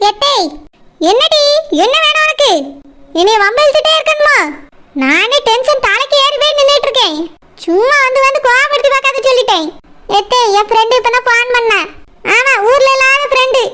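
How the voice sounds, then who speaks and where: A woman's voice: extremely high pitch, brisk, background noise. A woman voicing village women in a cartoon, scolding and nagging, with a Kanyakumari Tamil accent. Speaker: cartoon voice artist.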